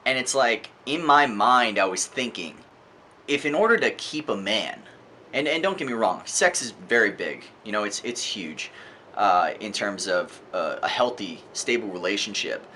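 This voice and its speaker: A man's voice: fairly low pitch, lively intonation, moderate pace, pushed and bright, a little hiss or hum. A man giving an informal, opinionated book review monologue in a conversational, emphatic way, repeating words for effect.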